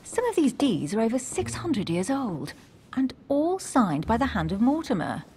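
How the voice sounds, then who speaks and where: A woman's voice: a little high, big pitch swings, moderate pace, a little mellow, slight background noise. A woman acting a cool, skeptical, learned young aristocrat in a video game cutscene, with a dry, nonchalant, sardonic delivery. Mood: intrigued.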